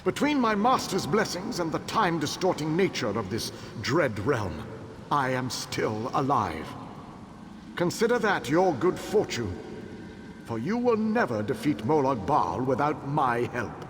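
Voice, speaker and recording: A proud ancient elven king, a cold and calculating tactician for a video game. He speaks in a formal, commanding way, his voice sitting a little high and swinging widely in pitch. Long reverb hangs around him over a loud ambient rumble. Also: very animated, measured pace.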